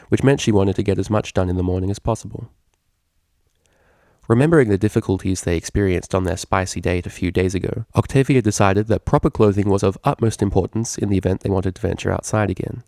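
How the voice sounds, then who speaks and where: A man's voice: sits low, quick pace, gravelly, warm tone, no room sound, clean studio sound. A man reading a scripted story aloud as its narrator. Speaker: fan fiction reader.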